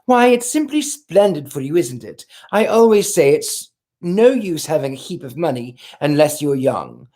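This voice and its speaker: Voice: medium pitch, very animated, normal speed, mellow, natural voice. A reader voicing a chatty, frank young American society woman in a novel read aloud, with breezy, naive chatter. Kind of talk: audiobook character voice.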